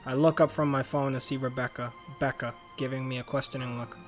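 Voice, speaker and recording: A man's voice: mid-range voice, fairly even intonation, a little fast, faint music behind. A man hosting a let's play, reading game text aloud and adding casual commentary. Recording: faint background noise.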